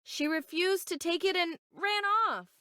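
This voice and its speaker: An actress playing an easygoing, self-deprecating young street urchin turned soldier in a video game, with casual, flippant bravado. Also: female voice, very high voice, measured pace, sharp tone, no echo, studio quality, exaggerated voice. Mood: resigned, reflective.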